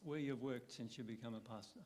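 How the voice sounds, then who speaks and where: A man's voice: medium pitch, fairly even intonation, fairly quick, darkish tone. An older man who hosts a church stage interview as local pastor, teasing and friendly, with frequent ums. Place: church stage before a congregation.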